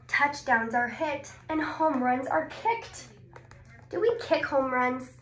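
A woman in her twenties reads a picture book for small children, with exclamations and simple questions. Her voice is fairly high and her delivery unhurried. It is recorded in an echoey small room with noticeable background noise. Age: 20s. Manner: expressive, exclaiming, asks questions and pauses before answering. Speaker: speech therapist. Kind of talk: animated read-aloud of a children's picture book, with questions and answers. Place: small indoor clinic room. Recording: roomy echo, clear background noise.

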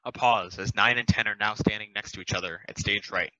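A man's voice: fairly low pitch, fairly even intonation, fairly quick, sharp tone, no room sound. A man reading stage directions plainly on a video call through a headset. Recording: dull lo-fi call audio.

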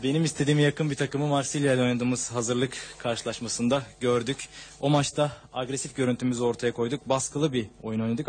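A man's voice: medium pitch, darkish tone, slightly rough. A man interpreting a football coach's long answer at a press conference, talking steadily through a table microphone.

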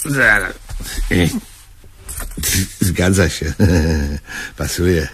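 An actor playing a grumpy, self-important, stubborn man in a comedic radio play, curt and husky. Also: low-pitched, lively intonation, very slow, sharp tone, slight background noise, full character voice. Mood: musing. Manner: curt, gruff, dismissive.

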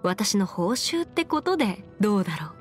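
A woman's voice: medium pitch, big pitch swings, moderate pace, soft background music, natural voice. A woman voicing a confident, bookish young female legal expert in a video game, speaking in a self-assured, formal, lecturing way. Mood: friendly, playful. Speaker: video game character voice actor. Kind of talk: scripted anime-style game character monologue.

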